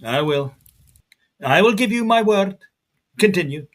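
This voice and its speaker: An actor playing an incredulous, rational doctor in a period audio drama, formal and emphatic, with a husky voice. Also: male voice, high voice, lively intonation, unhurried. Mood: restrained. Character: incredulous, rational doctor arguing with his patient.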